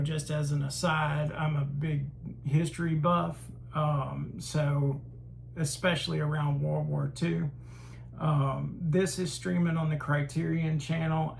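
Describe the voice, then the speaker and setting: Casting: man hosting a film review channel, unhurried and halting delivery, gravelly edge, faint hum behind. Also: a little high, flat delivery, poor audio. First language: English. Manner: slow, halting, frequent uh fillers. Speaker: host. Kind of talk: casual film collection review.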